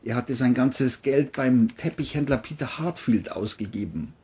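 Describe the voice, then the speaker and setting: Husky man narrating a crime story. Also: mid-range voice, animated, moderate pace, warm tone.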